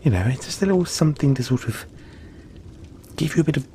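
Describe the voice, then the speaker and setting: A man voicing a gentle, cuddly young yeti in a soft-spoken, hesitant, soothing roleplay addressed to the listener. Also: on the high side, expressive, fast talker, a little hiss or hum. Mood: encouraging, musing.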